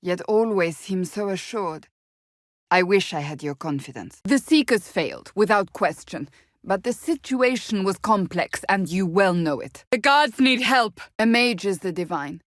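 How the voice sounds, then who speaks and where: A woman's voice: mid-range voice, animated, measured pace, no echo, natural voice. A woman as a stern, proud warrior woman, dry recording. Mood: urgent, commanding. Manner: formal, clipped, earnest delivery. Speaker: video game character voice. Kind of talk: dramatic fantasy game dialogue.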